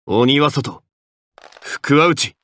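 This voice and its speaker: A man's voice: medium pitch, animated, drawn-out words, a bit bright, no echo. A man voicing a brooding, reserved young warrior in an anime game, terse and subdued. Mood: serious, subdued.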